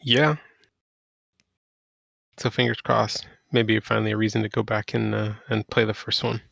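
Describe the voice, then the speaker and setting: A man speaks low and flat, with a creaky edge to his voice. He co-hosts a gaming podcast in a casual chatting style, recorded dry. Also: normal speed, no room sound. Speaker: podcast co-host. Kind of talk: casual video game podcast chat.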